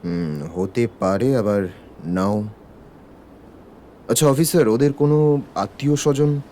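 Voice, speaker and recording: An actor playing a composed, probing police detective in charge, questioning in a measured way in an audio crime drama. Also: male voice, mid-range voice, dark tone, a little hiss or hum, lightly acted.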